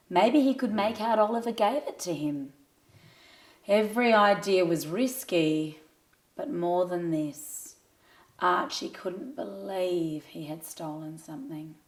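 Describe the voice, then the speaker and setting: A female storyteller with a slightly low voice reads a scripted tale for young children. Her delivery is expressive and addressed to little listeners.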